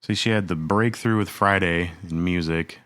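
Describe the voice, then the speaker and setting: A man's voice: low voice, moderate pace, a bit bright, no echo, clean studio sound. A man co-hosting a music podcast, chatting in an easygoing way, joking and laughing a lot. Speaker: podcast co-host. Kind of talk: casual chat.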